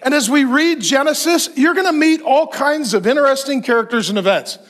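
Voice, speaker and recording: A man of fifty-one preaching a sermon on a large church stage, animated and joking as he gestures. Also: very high-pitched, brisk. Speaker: pastor.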